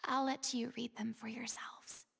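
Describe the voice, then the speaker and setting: An actress voicing a young, earnest, compassionate woman investigator in a video game, speaking softly and half-whispering. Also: on the high side, flat delivery, unhurried, full character voice. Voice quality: soft, breathy, partly whispered. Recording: game audio with long reverb.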